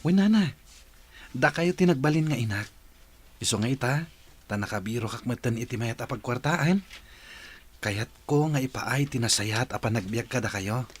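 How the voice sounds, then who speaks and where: A devoted grown son in an Ilocano radio drama. His voice is husky and mid-pitched, earnest toward his mother, with an Ilocano accent. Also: expressive, moderate pace, long pauses. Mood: eager, grateful.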